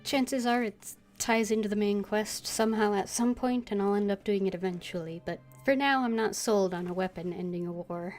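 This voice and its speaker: A woman game streamer casually reading game text aloud and muttering low-key asides. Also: normal speed, few pauses, darkish tone. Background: game music, keyboard typing.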